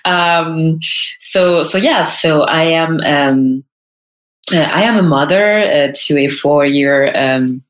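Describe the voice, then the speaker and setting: A woman, speaking slowly in a low voice. She talks in a hesitant way, stopping to restart her sentences and filling the gaps with "um". The room around her has a noticeable echo. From France. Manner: hesitant, many fillers and restarts. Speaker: guest, personal brand stylist. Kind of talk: casual interview chat.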